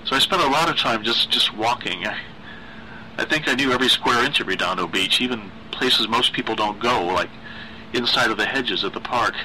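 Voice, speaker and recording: A man voicing a timid, unhinged teenage boy in a story, with a halting, high-pitched stutter. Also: pushed and bright, slight background noise, low-quality recording. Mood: nervous, halting. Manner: halting, repeating words as he stammers.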